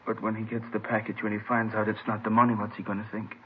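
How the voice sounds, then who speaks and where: Man acting worried husband, professor, anxious, pleading, slightly low pitch, old radio drama, noisy narrow bandwidth. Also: completely flat delivery, quick pace, lightly acted. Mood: anxious, fearful. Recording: noisy, thin vintage radio recording.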